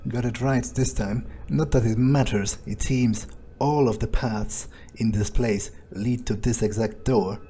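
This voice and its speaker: Slow, mellow-voiced man narrating a game. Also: medium pitch, fairly even intonation, slight background noise. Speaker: gamer role-playing as his character. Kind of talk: in-character gameplay narration, thinking aloud. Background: faint music.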